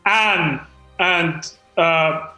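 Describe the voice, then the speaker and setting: A man with a very bright, high voice reads out stage directions. He is earnest and hesitant, easily flustered, and the space around him is echoey, with music underneath. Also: drawn-out words. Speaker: screenwriter reading out his script at a comedy table read. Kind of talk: halting stage-direction narration and comic banter.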